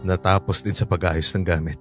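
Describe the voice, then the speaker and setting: A man playing a gentle, earnest working father. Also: deep voice, steady tone, brisk, loud music behind the voice, lightly acted. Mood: friendly. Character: humble, hardworking father going abroad. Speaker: overseas worker, father. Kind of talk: radio drama acting.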